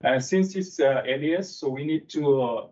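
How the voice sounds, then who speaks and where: A young man from Bangladesh presenting a technical seminar in a conference room, talking haltingly with frequent fillers. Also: mid-range voice, darkish tone. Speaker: seminar speaker. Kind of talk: technical lecture. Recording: distant mic, dull sound.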